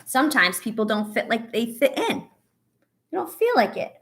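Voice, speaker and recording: A woman teacher reading aloud to young children and guiding a breathing exercise, with an encouraging, instructive, expressive storybook delivery. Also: on the high side, normal speed.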